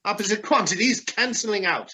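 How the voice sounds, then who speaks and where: A man acting a crotchety, outspoken old gentleman, formal and pompous, in a radio drama. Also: high voice, fairly quick. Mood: indignant, blustering. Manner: blustering, pompous.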